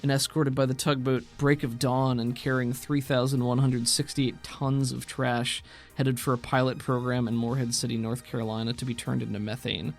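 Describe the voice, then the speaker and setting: A man's voice: medium pitch, flat delivery, moderate pace, vocal fry, faint music behind. A man talking casually as a podcast co-host and game master.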